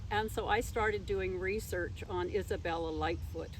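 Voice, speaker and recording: A woman's voice: medium pitch, normal speed. Older woman storytelling, faint traffic behind. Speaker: interview guest, former teacher. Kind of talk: unscripted personal storytelling in an interview.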